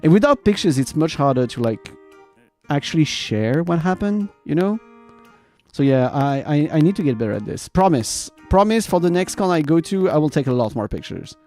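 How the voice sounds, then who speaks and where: A man rambling casually and informally to his livestream viewers, animated and loose in his wording. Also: on the high side, normal speed, faint music behind. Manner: animated, laughs often. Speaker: variety streamer and host.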